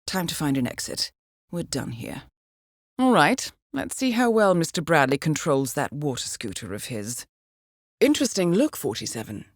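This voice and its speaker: An actress playing a composed, dryly witty intelligence handler in a video game, briefing an assassin in a formal, understated, ironic manner. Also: female voice, deep voice, very animated, moderate pace, no room sound, studio quality, heavily acted. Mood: dry, wry. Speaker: mission handler.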